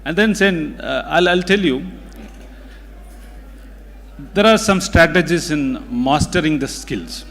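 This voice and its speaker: A man with a Tamil Indian accent, a native Tamil speaker, gives a deliberate motivational speech, repeating phrases for emphasis. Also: a little high, expressive, gravelly, fairly bright tone. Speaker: guest speaker at a community event. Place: indoor event hall. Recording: hall reverb from a large room.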